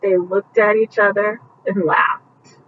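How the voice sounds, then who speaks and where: A woman reading a picture book aloud at bedtime, gently explaining the pictures with casual asides as if to young children. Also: mid-range voice, steady tone, slow pace. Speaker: storybook reader. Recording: muffled, distant mic with faint background noise.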